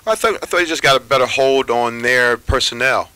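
A middle-aged man, a basketball head coach, answering reporters after a game in measured, unhurried talk full of fillers and pauses. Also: medium pitch, pushed and bright, dry recording. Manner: measured, thinking aloud with many fillers. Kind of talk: postgame press conference answers. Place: press room with sponsor backdrop. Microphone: table microphones at a press conference.

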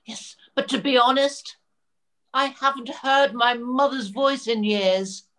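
An older woman playing a bumbling, dramatic pantomime Prince Charming in a theatrical, deliberate manner over a video call. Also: high voice, fairly even intonation, a bit bright. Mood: bumbling, earnest. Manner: theatrical, alliterative, deliberate delivery.

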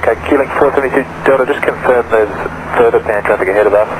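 Fast-talking male pilot on noisy radio. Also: medium pitch. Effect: aviation radio. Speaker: airline pilot. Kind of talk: radio readbacks and queries. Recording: noisy cockpit radio transmission.

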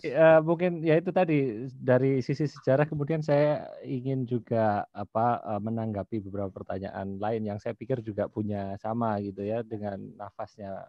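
A man's voice: fairly low pitch, dry recording. A young man explains a point as a panel speaker on a video call. He is hesitant and searches for words. The sound is dry and slightly dull, lo-fi. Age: young adult. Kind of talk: informal explanation in an online discussion. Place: small plain room, indoors. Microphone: video call, close to screen.

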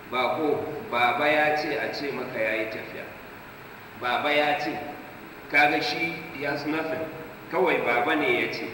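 A man's voice: a little high, sharp tone, a little hiss or hum. A man giving a tafsir lecture in a mosque, explaining verses with everyday examples and quoting Arabic, recorded from far off in an echoey hall. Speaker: Quran tafsir teacher. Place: mosque hall, seated at a table. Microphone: handheld mic, filmed from far.

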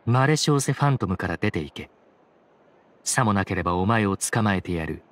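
A man playing a solemn, dignified chief judge in a Japanese game dub, speaking in formal, measured, stiffly courteous phrases. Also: sits low, expressive, a bit bright, no echo. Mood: calm, serious. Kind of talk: Japanese anime game voice acting. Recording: music behind the voice. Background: game background music.

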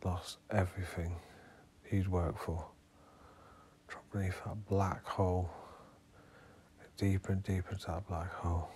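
Slow, low-voiced man guiding a meditation. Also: little pitch movement, a little hiss or hum. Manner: hushed, instructing in short, spaced-out phrases. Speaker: hypnotherapist leading a guided meditation. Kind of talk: guided hypnotherapy meditation.

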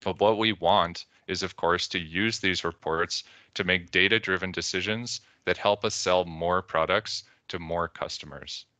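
A man's voice: low voice, measured pace, hardly any pauses, sharp tone, no room sound. A young man with a Canadian accent giving a conference presentation over a video call, telling a story and quoting characters along the way. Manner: storytelling with quoted voices. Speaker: conference speaker. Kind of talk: presentation talk.

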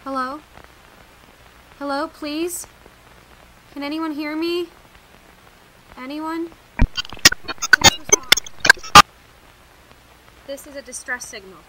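A woman trapped alone in a radio drama, her voice anxious and halting with a slightly raised pitch. Steady rain is plainly heard behind her. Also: very noisy. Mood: pleading. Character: frightened woman locked in alone.

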